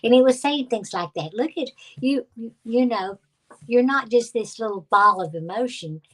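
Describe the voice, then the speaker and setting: A woman's voice: a little high, animated, normal speed, fairly bright tone. An older woman, a guest psychic reader, casually recounting a personal memory with many restarts and fillers. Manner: reflective storytelling with many restarts. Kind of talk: casual conversation.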